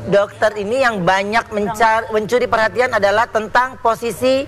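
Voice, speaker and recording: A man's voice: sits high, steady tone, moderate pace, a crowd in the background. A man hosting a studio talk show, bantering with co-hosts and leading the questions to the guest.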